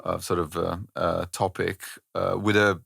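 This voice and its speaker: Brief: Italian man in his 30s, deep gravelly voice, halting and thoughtful, dry close mic. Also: flat delivery, fairly bright tone, no echo. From Italy. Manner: thoughtful, halting, many fillers. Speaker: orchestra director, podcast guest. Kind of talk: conversational interview.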